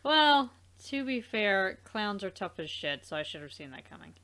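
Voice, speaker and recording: Female co-host, average pitch, informal and giggly, rambling conversational delivery. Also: big pitch swings, moderate pace, background noise. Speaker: let's play co-host. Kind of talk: casual chat.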